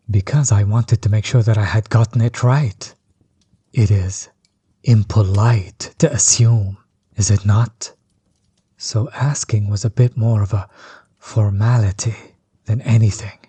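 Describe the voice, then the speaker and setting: Male actor as smug tyrant overlord, audio roleplay: low, heavily husky, close and dry, theatrically mocking. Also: dark tone, no room sound, full character voice. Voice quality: husky, breathy, often dropping toward a whisper. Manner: mocking, condescending, drawn-out theatrical delivery. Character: smug, bitter male villain overlord, grudge against old companion. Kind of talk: scripted roleplay audio drama monologue.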